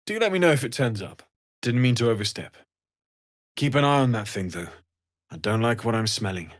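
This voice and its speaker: A man playing an earnest, kindly blacksmith in a video game, weary from surviving the hells, speaking with gentle, understated sincerity. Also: mid-range voice, expressive, fairly quick, natural voice. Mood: friendly advice. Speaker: video game character actor. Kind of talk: acted video game dialogue.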